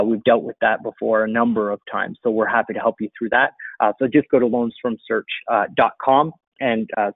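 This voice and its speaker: A man's voice: mid-range voice, slightly rough, no room sound. A middle-aged man answering questions informally as a webinar host, explaining things conversationally at his computer. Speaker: webinar host and marketing coach. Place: indoor room, seated at a computer. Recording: dull, dry lo-fi webinar audio.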